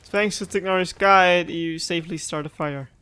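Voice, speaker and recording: A woman's voice: lowish voice, moderate pace, fairly bright tone, clean tone, dry recording. A woman doing casual gameplay commentary as a streamer, with playful, sarcastic quips. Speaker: let's play streamer.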